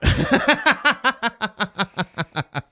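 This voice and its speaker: A man's voice: high-pitched, sing-song, drawn-out words, bright, forceful tone, no echo, clean studio sound. A man hosting a podcast in a casual chat, talking in loose slang with swearing and frequent laughter. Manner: slangy and profane, often laughing. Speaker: podcast host.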